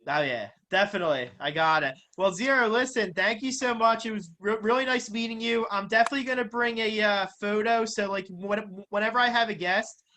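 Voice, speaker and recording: A man with a Philadelphia accent hosting a casual interview, speaking informally and haltingly. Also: sits high, pushed and bright, a little hiss or hum. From Philadelphia, United States. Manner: halting, informal, often says like. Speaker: interviewer, podcast host.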